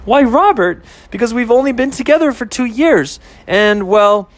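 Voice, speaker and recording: A man's voice: very high voice, lively intonation, normal speed, dry recording, voice pushed up. A man voicing a young woman, a flippant girlfriend who scoffs and fires off rhetorical questions. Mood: mock-prim. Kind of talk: amateur audio story acting.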